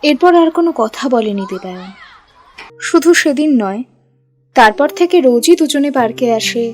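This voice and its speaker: A woman's voice: sits high, lively intonation, normal speed. A woman reading scripted narration for an audio love story. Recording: music bed behind the voice.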